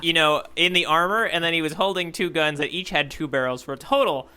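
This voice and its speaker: A man's voice: a little high, brisk, pushed and bright, a little hiss or hum. A man co-hosting a podcast, chatting casually and thinking aloud as he reacts to a story. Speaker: podcast co-host.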